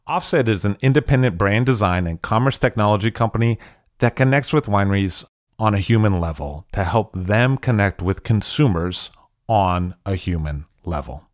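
A man's voice: on the low side, animated, normal speed, darkish tone, dry recording, studio quality. A man reading a scripted sponsor message. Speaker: sponsor announcer. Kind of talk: scripted ad read.

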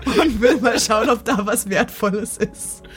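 A woman playing a tabletop role-playing game, mixing improvised role play with casual chat in hesitant, drawn-out phrases. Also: fairly high pitch, soft background music.

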